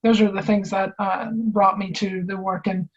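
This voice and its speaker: A woman academic from Maine speaks in a measured, earnest way, with occasional hesitations. Her pitch barely moves, and the video-call audio sounds dull and muffled. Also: flat delivery. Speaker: panelist, academic. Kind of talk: online panel discussion.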